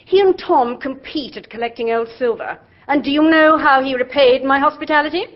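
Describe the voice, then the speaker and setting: An actress playing a brisk, bossy middle-aged aunt in a radio drama. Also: female voice, high-pitched, close to an everyday voice. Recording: dull lo-fi audio.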